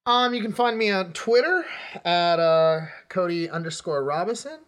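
A man's voice: high-pitched, lively intonation, normal speed, a bit bright, smooth. A man hosting a film podcast in a loose, casual conversational style. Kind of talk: casual chat.

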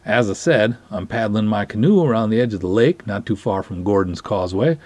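Male dramatic reader, slightly low and husky, formal wording, dry close sound. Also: expressive, fairly quick, a little mellow, no room sound. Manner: formal, wordy, theatrical delivery. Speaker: podcast host reading a short story aloud. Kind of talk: dramatic reading of narrated dialogue.

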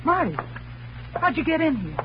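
An actress playing a defiant, hard-boiled woman with sharp retorts in a 1940s radio crime drama. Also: high voice, big pitch swings, normal speed, background noise. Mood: startled, indignant. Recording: muffled, humming old radio recording.

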